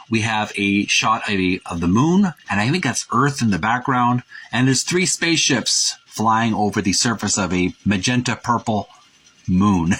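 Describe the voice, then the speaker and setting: A man presenting a book by reading its printed text aloud with commentary, in a halting, deliberate, itemizing way with pauses. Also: medium pitch, fairly bright tone, husky. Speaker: presenter of a retro video game book.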